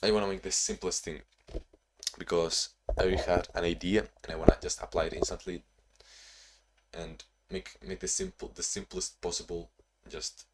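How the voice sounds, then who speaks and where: Low-voiced young man, rambling vlog talk. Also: animated, normal speed, gravelly, noisy background. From Spain and Ukraine. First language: Spanish or Ukrainian. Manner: rambling, self-reflective, frequent restarts. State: tired from little sleep. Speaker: vlogger. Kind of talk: casual vlog talking to camera.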